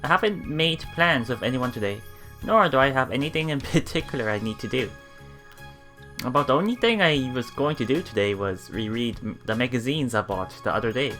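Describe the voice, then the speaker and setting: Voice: medium pitch, lively intonation, fairly quick, a bit bright, faint music behind. A let's player reading visual novel text aloud and musing casually on it as they go. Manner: musing, often thinking aloud.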